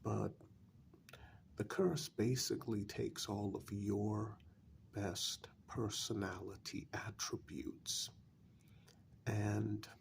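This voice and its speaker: Casting: male performer as a patient, apologetic confidant in a gothic vampire role-play, low voice full of heavy fry, hushed delivery. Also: fairly even intonation, slight background noise, exaggerated voice. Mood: grave, explanatory. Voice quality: soft, breathy, gravelly. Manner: slow, measured, patient, with long pauses. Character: calm, apologetic male confidant explaining a vampire curse in an old castle. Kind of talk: soft-spoken ASMR role-play.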